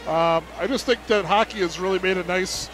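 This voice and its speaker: A man's voice: high voice, a little fast, a bit bright, low-quality recording. A man, a team president, giving an upbeat, casual live interview as a guest at a hockey arena.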